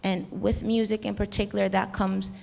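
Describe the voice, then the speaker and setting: Earnest gravelly woman in a big hall. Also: medium pitch, little pitch movement, normal speed, darkish tone. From United States. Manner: earnest, rambling with restarts. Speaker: panelist, music educator. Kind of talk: reflective panel discussion. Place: convention hall stage. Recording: long reverb of a large hall.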